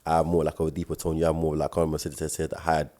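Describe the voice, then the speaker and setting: A young man with a South London accent, co-hosting a casual podcast chat, talking quickly in slang with repeated words and stammers. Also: very deep voice, completely flat delivery, mellow. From South London, England. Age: young adult. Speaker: podcast co-host.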